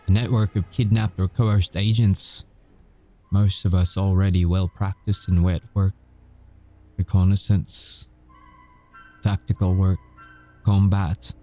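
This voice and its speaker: A man playing a weary, confessing werewolf ex-agent in an intimate audio roleplay, speaking haltingly with deliberate pauses. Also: on the low side, mellow. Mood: wry, guarded.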